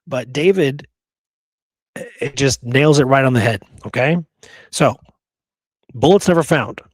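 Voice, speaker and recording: A man's voice: mid-range voice, animated, a little slow, dry recording, low-quality recording. A man hosting a history show, reading old sources aloud between chatty asides. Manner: chatty, with frequent "you know" and "okay" asides. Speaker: history podcast host.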